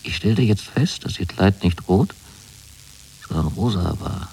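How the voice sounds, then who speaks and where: An actor playing a naive, wryly fastidious son in a radio drama, telling of his new life in measured, precise, deliberate phrases. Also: deep voice, animated, creaky, darkish tone, slight background noise, heavily acted. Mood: wry, fastidious wonder. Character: young man writing letters to his mother, wryly fastidious and naive. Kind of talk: literary radio drama monologue.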